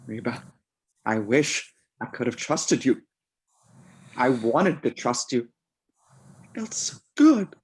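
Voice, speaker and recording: A man playing a tormented, unstable killer, halting and menacing, in an amateur screenplay read over a video call. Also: mid-range voice, big pitch swings, husky, heavily acted. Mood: grim menace. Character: tormented, unstable male killer pleading with captive lover. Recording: home video-call audio.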